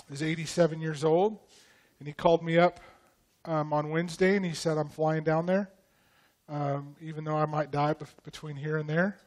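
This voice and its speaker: Slightly raspy man pastor, casual. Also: fairly high pitch. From Idaho. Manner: relaxed, conversational, frequent ums and throat clearing. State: raspy voice after a vocal cord infection. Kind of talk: church teaching talk.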